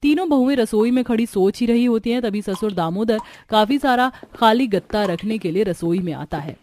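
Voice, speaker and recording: A woman's voice: a little high, expressive, normal speed, a little mellow, slight background noise. A woman narrating a scripted cartoon story.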